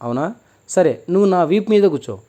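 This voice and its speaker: A man voicing a gentle, forgetful talking crocodile in a children's story, plain-spoken and reassuring. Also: sits high, expressive, brisk. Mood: earnest, pleading.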